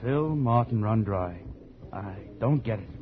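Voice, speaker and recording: A man's voice: on the low side, expressive, measured pace. A man playing a puzzled, gossiping writer's colleague in a 1940s radio drama. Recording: muffled old radio recording, loud music.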